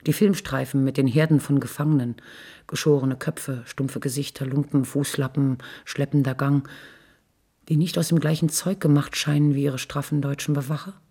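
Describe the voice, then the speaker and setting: A woman narrator reads literary prose in a very raspy voice. It is creaky and dark, at a medium pitch. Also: fairly even intonation, moderate pace. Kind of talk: scripted literary narration with rhetorical questions.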